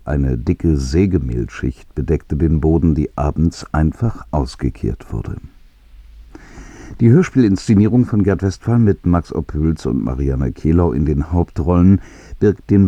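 Very deep, dark-voiced man, husky. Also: no echo. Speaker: radio presenter. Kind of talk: scripted introduction to an archive radio play.

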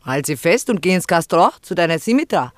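A man's voice: on the high side, big pitch swings, brisk, dry recording. A man playing a nosy, needling harbour café local in a German radio play. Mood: nosy, teasing.

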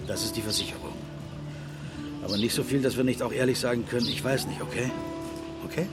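A man's voice: medium pitch, fast, over loud music, close to an everyday voice. A male actor playing a seasoned criminal mentor in a radio drama, instructing and asking short probing questions, reassuring but cautionary. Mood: reassuring, cautioning.

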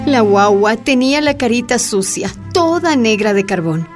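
A woman's voice: a little high, lively intonation, moderate pace, over music. A woman narrating a scripted radio drama as storyteller.

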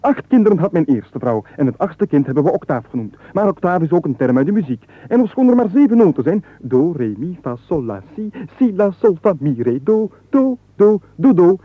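An actor with a Flemish accent playing a penniless, endlessly babbling rogue who rambles pedantically in a radio comedy. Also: male voice, high voice, sing-song, fairly quick, few pauses, dry recording. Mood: rambling, cheerful. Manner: rambling, pedantic wordplay and digressions. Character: penniless, nonstop-babbling male rogue angling for money. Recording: muffled, phone-like old radio recording.

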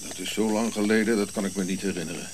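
A man acts out an old prince in a radio play, grumpy and sardonic, in a low voice. He talks curtly with dry irony over clear hiss and insect-like night noise. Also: fairly even intonation, fast talker, lightly acted. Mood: gruff. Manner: curt, irritable, dry irony. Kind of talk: radio drama acting.

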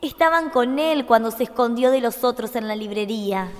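High-voiced solemn young empress played by a woman. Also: moderate pace, natural voice. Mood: pleading, urgent. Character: gentle, solemn young empress of a fantasy realm. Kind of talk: radio drama acting. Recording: long hall reverb on studio recording.

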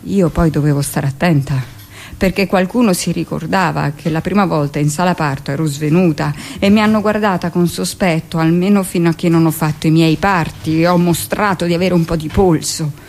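An actress playing a hardened middle-aged midwife who confesses and justifies herself in an insistent, expressive radio monologue. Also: female voice, lowish voice, fairly quick, close to an everyday voice. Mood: anxious confession.

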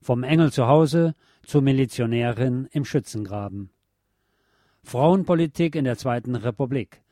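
A man reading a scripted historical documentary for radio in a measured, formal manner. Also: mid-range voice, dark tone, no room sound, studio quality. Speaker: radio narrator.

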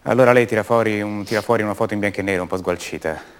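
A man's voice: lowish voice, fairly even intonation, rapid-fire, slight background noise, natural voice. An actor playing a troubled young colonel's son in a radio monologue, hesitant and defensive, repeating himself as he doubts who he is. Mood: shaken, subdued. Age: young adult.

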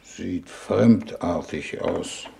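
Slow, creaky, wry statesman. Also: low-pitched, dark tone, full character voice. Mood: wry, leisurely. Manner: dry, wry, leisurely. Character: genial, dryly ironic foreign minister, reluctant space traveller. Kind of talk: 1950s German radio play acting. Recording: noisy vintage 1950s radio recording.

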